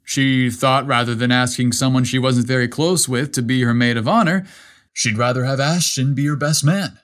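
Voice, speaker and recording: A man's voice: medium pitch, normal speed, a bit bright, close to an everyday voice. A man voicing an affectionate, mischievous young groom with casual dude talk in a narrated story. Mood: sincere.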